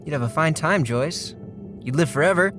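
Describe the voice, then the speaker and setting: A woman's voice: low-pitched, lively intonation, normal speed, music in the background. A woman playing a stubborn, bedridden male dreamer in an audio drama, earnest and insistent. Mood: teasing, persuasive.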